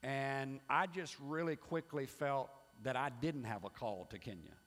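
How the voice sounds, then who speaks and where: A middle-aged male pastor preaching a sermon, emphatic and swinging between loud pushes and hushed asides. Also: medium pitch, unhurried. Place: church sanctuary. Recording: long hall reverb.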